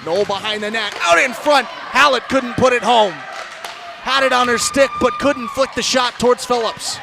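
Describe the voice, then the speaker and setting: A high-pitched male play-by-play commentator calls live ice hockey in an animated, projected broadcast voice. Crowd sound and arena noise are clearly heard behind him. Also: a little slow. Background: ice rink crowd.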